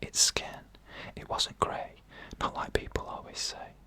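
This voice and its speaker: A narrator reading scary stories in a hushed ASMR whisper, with slow, deliberate phrasing and pauses. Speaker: narrator and host of a scary story show.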